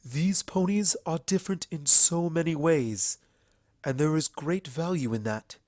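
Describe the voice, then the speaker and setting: A man voicing an ancient female pony princess in a storybook reading, speaking formally and with measured, earnest eloquence. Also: on the high side, a little mellow, no room sound, clean studio sound, lightly acted. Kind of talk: fan fiction audiobook character acting.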